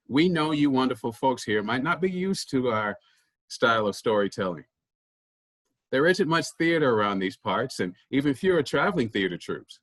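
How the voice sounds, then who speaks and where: A man's voice: mid-range voice, fairly bright tone, no room sound. A man playing a humble farmer who leads a traveling troupe of storytellers, giving an earnest, formal monologue in a staged play reading.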